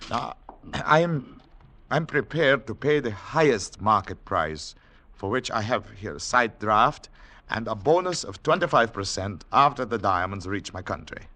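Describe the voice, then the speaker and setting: An actor playing an earnest, weary government agent in a 1940s radio drama, speaking formally and deliberately, at times halting. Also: male voice, fairly low pitch, expressive, pushed and bright, exaggerated voice. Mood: polite, formal. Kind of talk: 1940s radio drama acting with first-person narration. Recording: 1940s radio broadcast recording.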